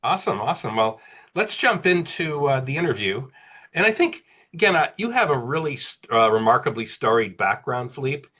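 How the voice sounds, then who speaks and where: A man hosting an interview, upbeat and a bit hesitant, often filling pauses with uh and you know. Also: medium pitch, big pitch swings, sharp tone. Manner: upbeat, hesitant, many fillers. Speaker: interviewer, host. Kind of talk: interview questions. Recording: dull lo-fi audio.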